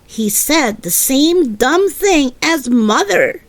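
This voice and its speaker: A woman reads a children's story aloud in a fairly high voice. Her narration is very expressive, with lively swings in pitch, and she takes it slowly.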